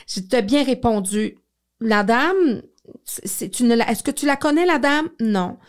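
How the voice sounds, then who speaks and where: A woman's voice: fairly high pitch, animated, unhurried. A woman with a Quebec French accent hosting a conversational interview and sharing anecdotes. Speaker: interview host.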